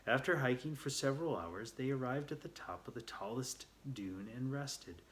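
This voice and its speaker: A man telling a children's story aloud, reading a little haltingly and repeating words as he goes. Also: mid-range voice, expressive, fairly bright tone.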